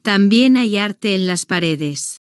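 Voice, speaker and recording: A woman reading a simple first-person story for language beginners, in slow, deliberate, evenly paced short sentences. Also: mid-range voice, expressive, clear, resonant tone, dry recording, clean studio sound. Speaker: language-learning narrator.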